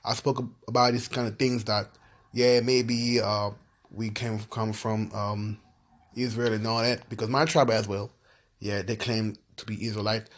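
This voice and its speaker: A man's voice: lowish voice, level tone, a little slow. A man from Ivory Coast casually talking through research as he reads from websites, filling gaps with "yeah" and "you know what I'm saying". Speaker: video host.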